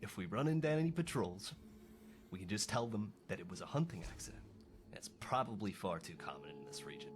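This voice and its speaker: A man voicing a wounded rebel fighter in a video game, weary, strained and halting, with a wry, loyal temper. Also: on the low side, expressive, background noise, lightly acted. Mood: pained, hopeful. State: injured, in pain. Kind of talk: video game character dialogue.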